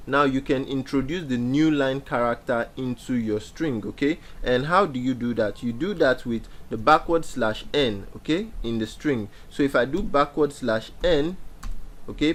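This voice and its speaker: A young man teaching a beginner programming lesson over a screen share, explaining step by step with frequent fillers and repeated phrases. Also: mid-range voice. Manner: explanatory, repeats key points with many fillers. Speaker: programming instructor. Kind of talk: screen-share coding tutorial.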